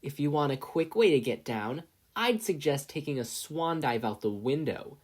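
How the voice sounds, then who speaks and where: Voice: medium pitch, animated, normal speed, pitched above the performer's own voice, lightly acted. A reader voicing a crowing, sickly-sweet teenage boy henchman who mocks his victim. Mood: cruel taunting.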